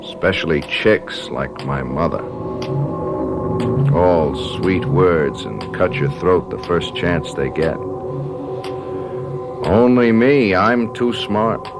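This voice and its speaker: A man's voice: low-pitched, expressive, takes it slowly, long pauses, loud music behind the voice, exaggerated voice. An actor playing a cocky, spiteful, paranoid young man who boasts and sneers in a radio drama. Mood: bitter, boastful. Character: cocky, spiteful, paranoid young male punk under hypnosis.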